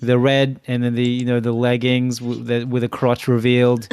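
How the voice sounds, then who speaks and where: A man's voice: medium pitch, monotone, moderate pace, a little mellow, clean tone. A man as a guest in a casual chat, easygoing and a little hesitant.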